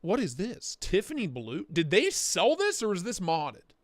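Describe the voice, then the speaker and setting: A young man hosting a casual livestream, hoarse as he loses his voice, talking in an excitable, profane way and slipping into mock voices. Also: high voice, unhurried, dry recording, studio quality. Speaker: livestream host.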